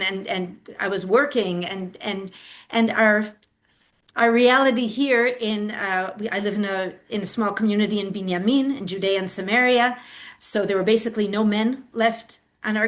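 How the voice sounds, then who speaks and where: An older woman speaks earnestly as an interview guest, explaining at length. She often restarts her phrases and pauses with fillers. Her voice sits at a middle pitch. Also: a bit bright. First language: not Hebrew. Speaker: activist guest. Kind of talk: interview answers.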